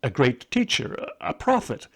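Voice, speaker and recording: Man playing a loyal magus, steady, reassuring, direct, inquisitive, storybook reading. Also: medium pitch, measured pace. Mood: curious.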